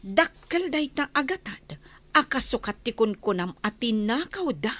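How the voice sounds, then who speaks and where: A woman's voice: a little high, big pitch swings, unhurried. A woman playing a haughty, cold mistress of a mansion in a radio drama, stern and commanding. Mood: angry, commanding.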